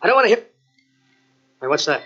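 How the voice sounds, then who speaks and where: A man's voice: high voice, sing-song, fairly quick, pushed and bright, slightly rough, soft background music, full character voice. An actor playing a menacing, grandiose gangster in an old-time radio crime drama, with bossy tough-guy talk and a frantic edge. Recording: muffled old radio cassette transfer.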